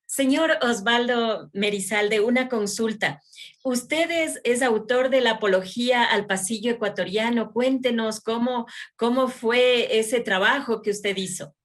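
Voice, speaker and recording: A woman interviewer speaks. Her voice sits a little high, and she puts her questions politely, in a conversational style. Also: measured pace.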